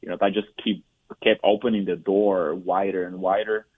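A low, flat-voiced man from Puerto Rico in his forties tells his life story as an interview guest. He speaks haltingly, restarting sentences and leaning on fillers. The sound is dry and tinny, like a phone line. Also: slightly rough, no echo. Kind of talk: personal testimony interview.

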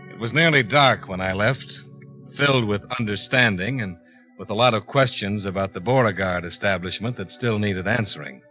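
A cool, hard-boiled detective in a 1950s radio drama. His low voice has a sharp, forceful edge, and he questions and narrates in a clipped, wry way. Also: normal speed, faint music behind, low-quality recording. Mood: terse narrating.

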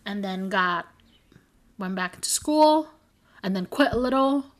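A young woman in a medium-pitched voice talks her way through a casual podcast chat. She rambles, corrects herself mid-sentence and breaks into giggles. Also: animated, slow. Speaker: podcast co-host.

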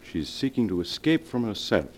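An actor playing a grave family doctor in 1940s radio melodrama, solemn, measured and authoritative. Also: male voice, lowish voice, sing-song, darkish tone. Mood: regretful, explaining. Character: grave, controlled family doctor breaking bad news. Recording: vintage 1940s radio broadcast.

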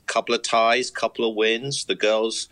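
A man's voice: mid-range voice, little pitch movement, moderate pace, bright, forceful tone. A man who is a youth soccer coaching director, chatting on a podcast in an upbeat, self-mocking way. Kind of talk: casual sports chat.

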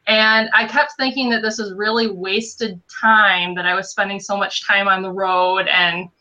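Podcast guest, 30s, nasal upper Midwestern accent, crisp bright voice, slightly muffled remote audio. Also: female voice, medium pitch, fairly even intonation, unhurried. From Western Michigan. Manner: chatty, self-deprecating, laughs between phrases. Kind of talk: casual interview chat.

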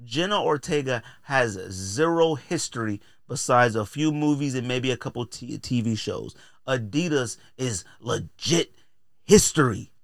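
A man's voice: medium pitch, expressive, measured pace, no room sound, studio quality. A man hosting a podcast in a casual, opinionated rant, emphatic and exasperated, repeating phrases to drive points home. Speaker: podcast host.

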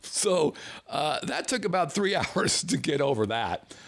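A middle-aged man from the United States telling a comic personal story, animated and profane, laughing as he goes. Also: on the high side, a little slow, vocal fry.

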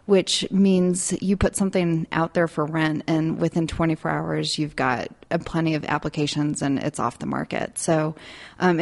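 A woman real estate agent, a podcast guest, explaining in a measured way with some ums. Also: low-pitched, gravelly, a little mellow. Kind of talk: guest interview.

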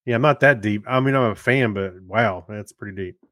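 A man's voice: fairly low pitch, fairly quick, a bit bright, dry recording, studio quality. A middle-aged man co-hosting a podcast, chatting in an agreeable, conversational way about films and TV shows. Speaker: podcast co-host.

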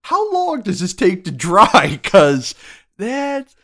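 A man's voice: high voice, huge pitch swings, slow, fairly bright tone. A man with a stuffed-up nose, co-hosting a casual podcast about a horror film, stumbling through many restarts and fillers. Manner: hesitant, many restarts and likes. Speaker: podcast co-host. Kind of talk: casual movie review chat.

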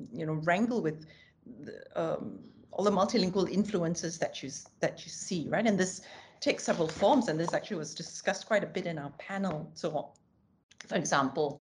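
A woman's voice: low-pitched, darkish tone. A woman from Singapore, explaining academic ideas in a conversational way, thinking aloud with many fillers and self-corrections. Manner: occasional light laughs. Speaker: linguistics scholar, podcast guest.

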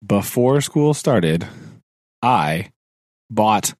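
A man co-hosting a podcast in casual chat, rambling with many fillers, laughing often and clearing his throat now and then. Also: lowish voice, lively intonation, very slow, a little mellow, no room sound, studio quality. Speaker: podcast co-host, teacher.

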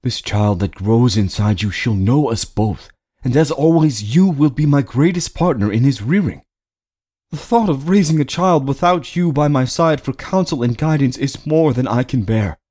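A man's voice: mid-range voice, expressive, normal speed, dark tone, voice pushed up, natural voice. A man voicing a loving young husband who speaks tenderly and reassuringly to his wife.

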